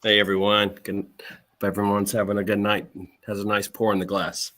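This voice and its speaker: A man's voice: lowish voice, monotone, a little fast, fairly bright tone. A man, the show's executive producer, sounding nasal from a cold.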